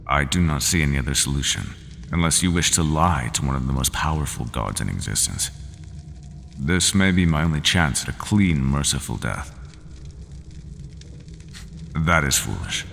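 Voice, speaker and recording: A man voicing a resigned, half-human horned monster in an audio roleplay, speaking slowly and formally without contractions. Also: lively intonation, fairly bright tone, heavily acted. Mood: solemn, weary. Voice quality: very deep and gravelly. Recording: long hall reverb, faint ambience.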